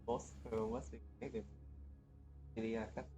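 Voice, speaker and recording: A man's voice: on the low side, flat delivery, measured pace. A man livestreaming a video game, giving casual commentary as he plays. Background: game music.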